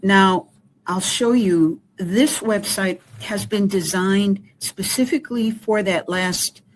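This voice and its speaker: A woman volunteering with a community voting group, explaining voter resources in a measured, careful way. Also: medium pitch, a little hiss or hum, poor audio. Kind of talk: explanatory interview answers.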